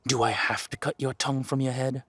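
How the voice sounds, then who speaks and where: A man's voice: mid-range voice, level tone, brisk, fairly bright tone, slightly rough, no room sound. A man acting a menacing, seductive villain king in a roleplay audio drama, with a theatrical, commanding drawl. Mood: threatening fury.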